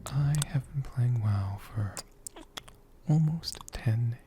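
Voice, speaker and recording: An ASMR host whispering softly and gently, with a breathy voice and deliberate pauses. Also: medium pitch, slight background noise. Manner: gentle, slow, deliberate, with pauses mid-sentence. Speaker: host of an ASMR channel answering viewer questions. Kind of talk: soft-spoken whispered ASMR.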